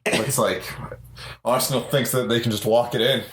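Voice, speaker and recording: A man with a deep voice, a podcast guest and actor, dry and low-key. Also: fairly even intonation, normal speed, echoey room, background noise. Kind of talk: casual chat.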